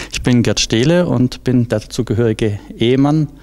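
A man's voice: medium pitch, normal speed, darkish tone. A middle-aged man from southwest Germany telling anecdotes informally in an interview, into a handheld microphone. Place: large indoor hall.

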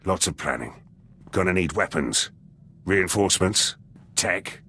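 An actor playing a blunt, battle-hungry special forces soldier in a video game, gruff and husky, speaking in clipped, terse sentences. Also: male voice, deep voice, lively intonation, a little slow, pushed and bright, slight background noise, full character voice. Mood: eager, determined. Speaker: soldier companion character. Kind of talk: video game dialogue acting. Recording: music under the voice.

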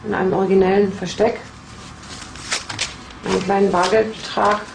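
A woman's voice: mid-range voice, flat delivery, normal speed, creaky, echoey room, noisy background. A woman police investigator explaining case evidence in an interview in a matter-of-fact, explanatory way.

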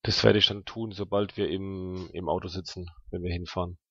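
A man's voice: low-pitched, flat delivery, normal speed, sharp tone, breathy edge, no room sound, muffled recording. A man hosting and playing in a tabletop role-playing podcast, chatting casually with crude, jokey banter. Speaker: podcast host and player.

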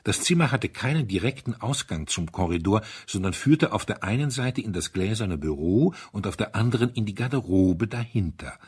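Husky, expressive male storyteller, lowish voice. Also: moderate pace, hardly any pauses. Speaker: audiobook narrator. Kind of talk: scripted narration of a mystery story.

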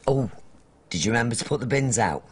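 A man's voice: mid-range voice, expressive, quick pace, husky. A man playing a chatty, fussy, self-satisfied married woman in a comedy bedtime sketch, with coy, needling prattle. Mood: smug, fussy. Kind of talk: domestic comedy sketch dialogue.

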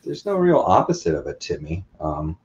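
A man's voice: deep voice, wildly swooping pitch, moderate pace, darkish tone. A man in his twenties chatting casually as a podcast guest, explaining things in everyday language. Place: living room couch, indoors. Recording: slightly muffled video-call audio.

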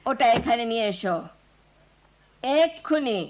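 A woman's voice: a little high, lively intonation, unhurried, a little hiss or hum. A woman voicing a strict, short-tempered schoolteacher in an educational cartoon, commanding and formal.

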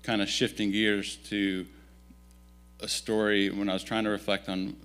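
A man in his thirties who grew up in Italy, giving a relaxed, self-deprecating missionary update in a church at a stand mic. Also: fairly low pitch, moderate pace, clean tone. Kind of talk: casual storytelling talk to a congregation. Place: church sanctuary, indoors. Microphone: stand microphone.

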